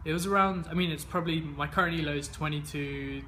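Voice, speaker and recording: A man's voice: a little high, quick pace, sharp tone, a little hiss or hum. A man in his twenties, raised in England, answering interview questions in an easygoing way with many fillers and restarts. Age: 20s. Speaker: interviewee, games player.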